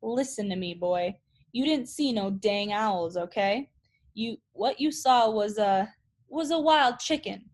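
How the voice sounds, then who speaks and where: A woman's voice: medium pitch, unhurried, a little mellow, close to an everyday voice. A woman reading aloud voices a grumpy, bald, middle-aged male construction foreman who hollers and blusters threats. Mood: nervous bluster.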